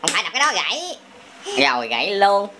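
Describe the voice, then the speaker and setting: A man's voice: sits high, wildly swooping pitch, quick pace. A man with a southern Vietnamese accent chatting casually and playfully with his young son, often mouthing sound effects. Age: adult. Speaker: father playing with his young son on a family toy channel. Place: small tiled room indoors. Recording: noisy home camera recording, plastic bricks clicking.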